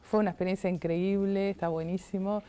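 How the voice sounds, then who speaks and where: A middle-aged Uruguayan woman, a singer-songwriter, chatting in an interview, animated and often restarting as she searches for words. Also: medium pitch, a little fast. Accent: Uruguayan Spanish. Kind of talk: casual interview chat.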